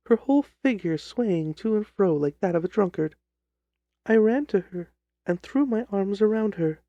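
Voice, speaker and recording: A man voicing a distressed young gentlewoman who tells her grim story in a formal, earnest, theatrical way, as in an audiobook drama. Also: high voice, no room sound, lo-fi sound, full character voice. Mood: fearful, grave. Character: distressed young gentlewoman recounting her sister's terrifying death.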